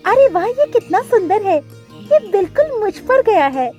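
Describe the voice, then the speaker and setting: Woman voicing a high, emotional cartoon mother bird. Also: big pitch swings, unhurried, warm tone, soft background music, heavily acted. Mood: cheerful. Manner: high-pitched, plaintive, dramatic. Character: emotional mother bird, female, doting, easily distraught. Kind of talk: Hindi cartoon story dialogue.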